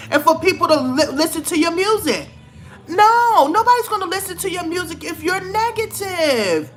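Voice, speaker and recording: A woman's voice: high voice, fairly bright tone. A woman giving blunt, scolding celebrity gossip commentary in a vlog, with emphatic outbursts. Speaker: vlogger.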